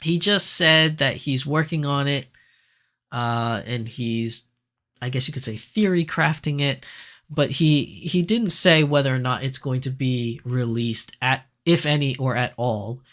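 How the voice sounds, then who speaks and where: A man hosting a casual livestream, chatting and reading viewer comments, his voice raspier than usual because he is sick. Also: medium pitch, unhurried. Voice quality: raspy. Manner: chatty, animated, laughs easily, frequent fillers. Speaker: livestream host.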